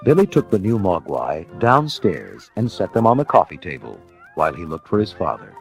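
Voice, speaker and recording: A man's voice: low voice, very animated, moderate pace, gravelly, faint music behind. A man narrating a children's storybook in a dramatic style, as part of an audio dramatization.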